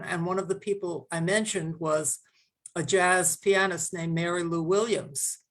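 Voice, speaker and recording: Older woman, low reflective voice. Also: creaky. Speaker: guest author. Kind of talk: casual panel discussion.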